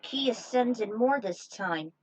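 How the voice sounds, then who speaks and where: A woman with a fairly high voice narrates a fan story as she plays a game. She moves haltingly between reading aloud and chatty remarks, recorded dry and close. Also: animated, a little mellow, dry recording, faint music behind. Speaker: fan fiction reader and game player. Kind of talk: narrating fan fiction over gameplay, with casual asides.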